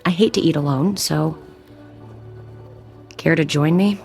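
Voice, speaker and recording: An actress playing a wry, guarded woman in an audio drama, speaking in a dry, deadpan way. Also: sits low, measured pace, creaky, faint music behind. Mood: casual, inviting.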